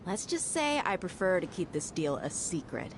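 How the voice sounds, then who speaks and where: A woman's voice: fairly low pitch, very animated, normal speed, a little hiss or hum. An actress voicing a secretive, persuasive woman in a video game, coaxing in a conspiratorial way. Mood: sly, persuasive. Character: secretive, persuasive woman striking a business deal.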